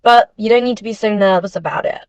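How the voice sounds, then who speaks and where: A woman playing a confident, supportive teenage girl model in an animated school drama. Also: mid-range voice, quick pace, smooth, no echo, dull audio, natural voice. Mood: reassuring. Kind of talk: animated game-series dialogue.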